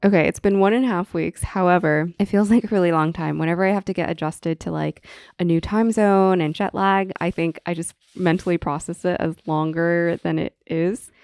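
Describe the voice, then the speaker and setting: A woman's voice: fairly low pitch, fairly even intonation, fairly quick, a little mellow, clear, resonant tone, dry recording, studio quality. A young woman co-hosting a podcast in casual chat, whose first language was Chinese, talking in a chatty way with many fillers and frequent giggles. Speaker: podcast co-host.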